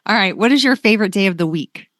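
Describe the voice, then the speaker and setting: A woman's voice: mid-range voice, animated, fast, fairly bright tone, no echo, clean studio sound. A woman hosting a casual conversational interview in an agreeable manner. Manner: agreeable, frequent short affirmations. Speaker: interview host.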